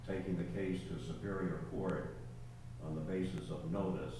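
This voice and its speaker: A man making a deliberate, formal public comment at a town hearing, with frequent hesitations. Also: sits low, fairly even intonation. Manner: measured, deliberate, many uhs. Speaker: neighbor objecting at a public hearing. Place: public meeting room. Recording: distant echoey room mic with hiss.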